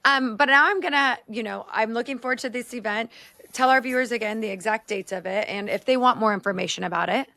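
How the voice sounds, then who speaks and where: A woman's voice: a little high, quick pace, gravelly, bright, forceful tone, dry recording. A woman hosting a podcast interview, affirming and asking questions casually. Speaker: podcast host.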